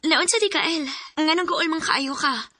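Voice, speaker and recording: High-voiced lovestruck young Cebuano Filipino student girl, played by a woman. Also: lively intonation, moderate pace, pushed and bright, dry recording, faint music behind, full character voice. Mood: worried. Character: lovestruck young female student, hurt yet forgiving. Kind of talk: Bisaya radio drama acting. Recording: noisy radio drama audio with clicks.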